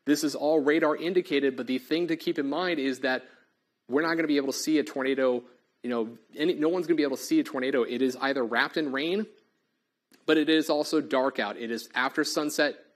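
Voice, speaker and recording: A man's voice: medium pitch, fairly quick. A man, a TV meteorologist losing his voice, giving urgent live storm warnings full of fillers in a studio on a lapel mic. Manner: urgent, repetitive, filler-heavy live delivery. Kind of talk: live severe weather coverage. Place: TV studio in front of a weather wall.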